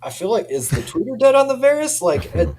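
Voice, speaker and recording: A man's voice: extremely high pitch, huge pitch swings, fairly quick, a little mellow. A man speaking informally as a company representative in a casual product chat, often framing things as personal opinion. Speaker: audio company representative and interview guest.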